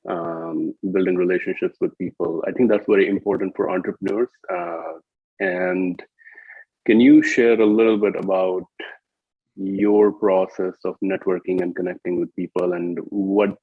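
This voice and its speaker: A man's voice: low-pitched, fairly even intonation, moderate pace, slightly rough, no echo. A man hosting a conversational interview, asking hesitant, roundabout questions over a muffled, narrow-band line. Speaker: interview host.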